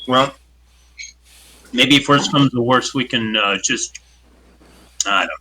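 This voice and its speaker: Bright-voiced, unsure male player. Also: mid-range voice, unhurried, slight background noise, rough recording. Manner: thinking aloud, unsure plans. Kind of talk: casual game-table talk.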